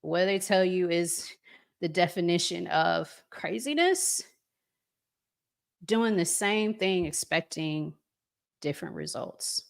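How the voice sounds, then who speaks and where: An American woman hosting a relationship talk show, chatting to viewers and giving advice in an earnest, emphatic, deliberate way with pauses. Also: lowish voice, gravelly. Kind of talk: live chat to viewers, reading comments, giving advice.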